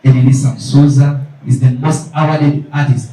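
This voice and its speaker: A man's voice: medium pitch, flat delivery, normal speed, dark tone. A man hosting a press event, hyping up the guests and mixing Luganda with English. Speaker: event host. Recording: echoey hall from far off.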